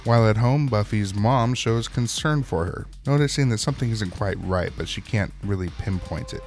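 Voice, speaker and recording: Wry low-voiced man recapping. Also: moderate pace, a little mellow, soft background music. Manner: wry, joking, colloquial. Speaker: host of a TV episode recap show. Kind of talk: casual recap narration with wry asides.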